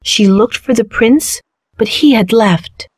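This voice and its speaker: A woman narrating a children's fairy tale in a measured, clearly phrased storytelling manner. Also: on the high side, no room sound, studio quality. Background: background music.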